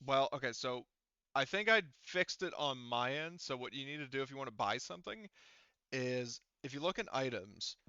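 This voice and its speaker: A man running an online tabletop game as game master, talking casually and haltingly as he thinks aloud while troubleshooting. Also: medium pitch, animated, bright, forceful tone, smooth, dry recording, lo-fi sound.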